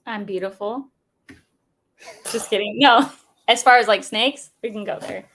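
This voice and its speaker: A woman's voice: fairly high pitch, measured pace, a bit bright. A woman being interviewed as a guest, talking casually and directly with a light joking manner. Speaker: snake breeder, guest.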